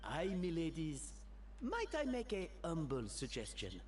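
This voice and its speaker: A man voicing a theatrical, jovial gentleman in a video game, with grandiloquent, flowery speech and a mischievous streak. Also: fairly high pitch, wildly swooping pitch, unhurried, a little hiss or hum. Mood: amused, theatrical.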